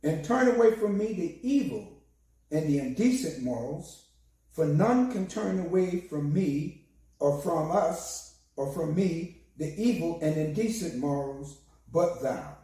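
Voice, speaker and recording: Man, minister, hoarse voice, mellow tone, very roomy echo, distant mic. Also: a little high, lively intonation. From United States. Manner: slow, measured, solemn delivery. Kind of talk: sermon with recited prayer.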